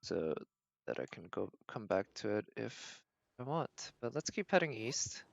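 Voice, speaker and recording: A man's voice: on the low side, very animated, unhurried, gravelly, dry recording, highs cut off. A man doing casual live gameplay commentary as a streamer, thinking aloud as he narrates his own decisions. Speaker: game streamer.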